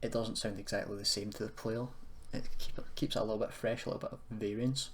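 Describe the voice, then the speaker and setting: The low-voiced man on a homemade screen-capture tutorial, hesitantly working it out aloud over faint noise and typing, putting himself down as he goes. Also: flat delivery, fast talker, a bit bright. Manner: hesitant, self-deprecating, thinking aloud. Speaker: tutorial presenter. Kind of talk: informal software tutorial, screen walkthrough. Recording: noisy room with keyboard clicking.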